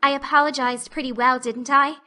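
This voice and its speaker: A woman's voice: high-pitched, steady tone, brisk, pushed and bright, voice pushed up. A woman voicing an earnest, dreamy, talkative orphan girl with effusive, flowery speech in an audiobook. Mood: proud. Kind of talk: audiobook acting.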